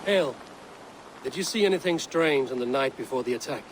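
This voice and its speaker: An actor playing a serious Viking investigator in a video game, speaking in a direct, questioning way. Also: male voice, mid-range voice, expressive, fairly quick, very noisy, close to an everyday voice. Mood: probing, controlled. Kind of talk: video game character acting.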